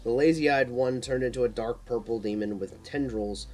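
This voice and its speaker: A man narrates an action horror story in an amateur audiobook style. His voice is mid-pitched, with occasional stumbles and repeats, and faint music plays underneath. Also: normal speed, a little mellow. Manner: stumbles and repeats words while reading.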